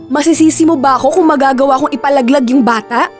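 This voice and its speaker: An actress voices a desperate young Filipino woman in a radio drama. Her voice is very high and insistent, stubborn in every line. Faint music sits behind it. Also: quick pace, lightly acted. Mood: desperate, heated. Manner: insistent, pleading, defensive.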